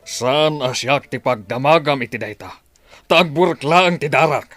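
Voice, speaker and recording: A man acting a gruff, dismissive, stubborn middle-aged father in a radio drama, with an Ilocano accent. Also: medium pitch, lively intonation, moderate pace, fairly bright tone, dry recording. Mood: angry, dismissive.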